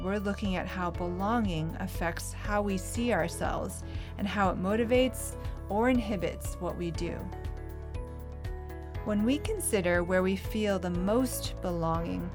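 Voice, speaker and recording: A woman hosting a podcast, delivering narration and interview questions. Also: mid-range voice.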